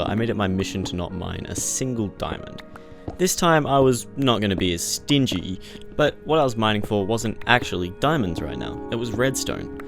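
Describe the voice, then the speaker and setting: Low, gravelly man narrating a game. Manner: jokey, profane, conversational. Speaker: gaming video creator narrating gameplay. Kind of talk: casual first-person gameplay narration. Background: music behind the voice.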